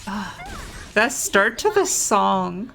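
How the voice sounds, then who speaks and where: A woman's voice: medium pitch, very animated, slow pace, creaky, fairly bright tone, rough recording. A woman giving casual, giggly gameplay commentary, exclaiming and reading game text aloud. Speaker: game streamer. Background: game music.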